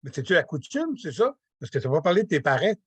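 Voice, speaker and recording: A man's voice: fairly high pitch, big pitch swings, fast talker, husky, no echo. A man with a Quebec French accent asking a casual question as an audience participant. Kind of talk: casual question at an online conference.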